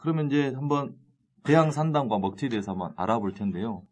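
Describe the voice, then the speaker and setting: A man's voice: medium pitch, animated, fast. A man with a Jeolla accent hosting a local podcast, joking and lightly teasing his guest. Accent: Jeolla dialect. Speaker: podcast host, news editor.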